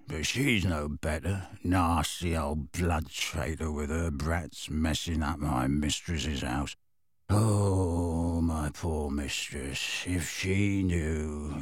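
Ancient male house elf: bottom-of-the-range bullfrog croak, malevolent, dragging mutter for a storybook. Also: no room sound, studio quality, exaggerated voice. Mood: contemptuous muttering, then mournful grumbling. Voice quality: hoarse, deep, bullfrog-like croak. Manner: muttering under his breath, slow and doggedly resentful. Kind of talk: audiobook character voice.

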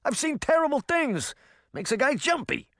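A man's voice: extremely high pitch, big pitch swings, moderate pace, a bit bright, dry recording, studio quality, pitched above the performer's own voice, exaggerated voice. A man voicing a nervous, paranoid male cat who is an army veteran, with a New Jersey accent. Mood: panicky. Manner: nervous, dramatic, doom-laden.

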